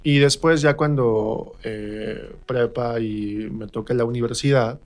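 A man's voice: medium pitch, steady tone, slow pace, a little mellow. A man with a central Mexican accent, telling personal stories casually as a guest in a radio interview, acting out remembered remarks as he goes. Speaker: interview guest, stage actor.

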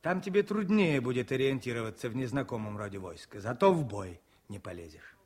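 A wartime commissar in a radio play, gruff but fatherly. The voice is rough and husky, with lively swings of pitch and an earnest, caring manner. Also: fairly quick. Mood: firm. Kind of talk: Soviet radio drama acting.

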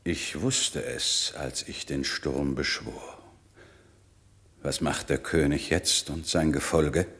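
A very deep, forceful sorcerer lord. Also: male voice, fairly even intonation, heavily acted. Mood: grave, reflective. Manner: solemn, measured, declamatory. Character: stern aging sorcerer lord, commanding yet turning to forgiveness. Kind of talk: classic German radio play acting.

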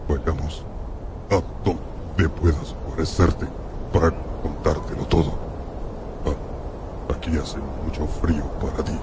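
Sorrowful creature: very low, grave, dragging speech over clearly noisy ambience. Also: male voice, flat delivery. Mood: subdued urging. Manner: solemn, halting, grave delivery. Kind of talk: radio drama acting. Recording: noisy ambient sound effects behind voice.

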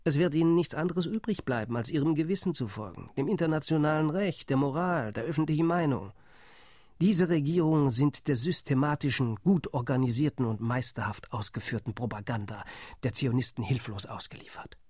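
An actor playing an indignant delegate. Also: male voice, medium pitch, brisk, a little mellow, no echo, clean studio sound, close to an everyday voice. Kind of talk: radio play monologue.

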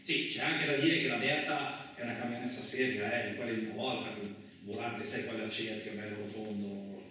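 An actor playing a rough, rambling male truck driver in a colloquial radio theatre monologue, with an earthy, agitated manner. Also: lowish voice, fairly even intonation, fairly quick, pushed and bright. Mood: wistful, joking. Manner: earthy, agitated, rhetorical tags, swearing. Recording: echoey distant room, faint noise.

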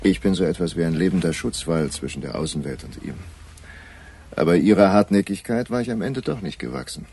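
A man's voice: deep voice, lively intonation, fairly quick, darkish tone. An actor playing a self-important, needling male journalist, sardonic and smug, in a German radio crime drama. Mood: wry, confiding. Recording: clear background noise.

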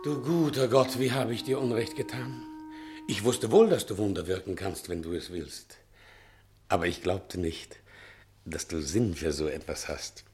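Repentant male rogue for a 1960s radio play: gravelly, declamatory, effusive, giddy with new innocence. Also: on the low side. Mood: awed, grateful. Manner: declamatory, effusive, swinging from hushed to exclaiming. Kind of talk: theatrical 1960 German radio play acting.

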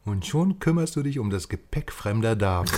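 An actor playing a brash, cynical, nosy journalist in a radio crime drama, colloquial and theatrical, swinging between muttering and outbursts. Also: male voice, lowish voice, sing-song, normal speed. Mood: teasing, curious.

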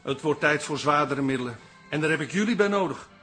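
A man in a comic radio drama playing a solemn professor turned hypnotist, patient but commanding, with deliberate, incantatory delivery. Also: fairly high pitch, expressive, music in the background, natural voice. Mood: resolute. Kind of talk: comedic radio drama acting.

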